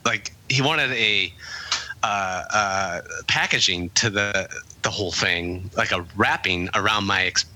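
A man's voice: lowish voice, a little slow, bright, forceful tone, a little hiss or hum, poor audio. A man being interviewed about his working life, talking in a reflective, rambling way with many fillers and restarts. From English-speaking country. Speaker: guest, author. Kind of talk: conversational interview.

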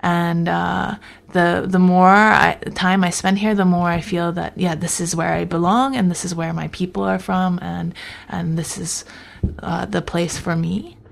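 A woman with a lowish voice and a lot of vocal fry talks as a guest in a casual conversation. She is thoughtful and halting, often starting her sentences over. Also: a bit bright. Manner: thoughtful, halting, many restarts.

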